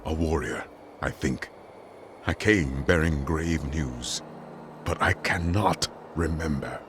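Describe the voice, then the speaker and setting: A man voicing a warrior with no memory who speaks in halting, grave fragments, in a video game. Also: a bass voice, background noise, heavily acted. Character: confused male warrior who lost his memory.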